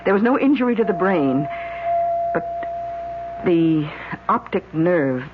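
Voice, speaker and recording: Careful doctor, muffled old radio. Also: female voice, fairly low pitch, big pitch swings, slow. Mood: gentle, hesitant. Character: careful, sympathetic woman doctor breaking bad news. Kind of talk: old-time radio drama acting. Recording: dull, hissy old radio recording.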